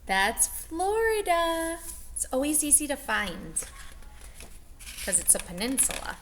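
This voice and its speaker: A woman reading aloud to young children as a teacher, expressively, pausing to explain and ask them questions. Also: high-pitched, very animated, a bit bright, a little hiss or hum.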